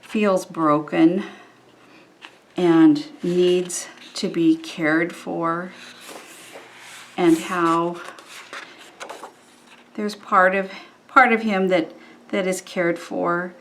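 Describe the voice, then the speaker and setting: A woman leading a children's church lesson, reflecting gently and ponderingly on a story, with pauses mid-phrase. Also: on the low side, steady tone. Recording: echoey room with faint background noise.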